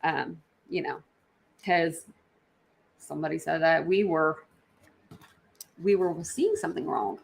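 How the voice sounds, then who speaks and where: A woman's voice: low-pitched, slow, vocal fry, long pauses. A woman hosting a casual livestream, reacting to videos in a rambling way, repeating herself and talking straight to her viewers. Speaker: livestream host.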